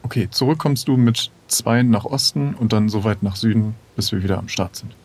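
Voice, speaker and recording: A man's voice: fairly low pitch, a little fast, a little mellow, husky. A man role-playing casually as a player in an improvised adventure, speaking in a hesitant, inquisitive way.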